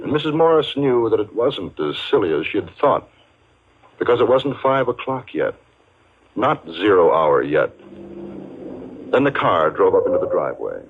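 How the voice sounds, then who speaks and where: An actor narrating a 1950s radio suspense drama as a solemn storyteller, in a measured, ominous manner with dramatic pauses. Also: male voice, on the low side, very animated, slight background noise. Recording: muffled vintage radio broadcast. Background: music.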